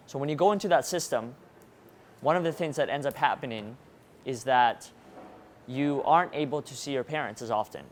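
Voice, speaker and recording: A man born in Russia speaks to a live audience in an engaging motivational style, often turning to the crowd with questions. His voice sits at a middle pitch. A faint hiss of room noise runs under it. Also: moderate pace. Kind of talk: interactive presentation to a live audience.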